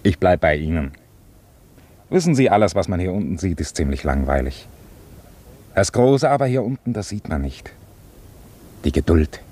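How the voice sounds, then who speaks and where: Very deep-voiced, earnest chaplain. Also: male voice, sing-song, moderate pace, pauses often, warm tone. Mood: earnest, reflective. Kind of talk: German radio play acting.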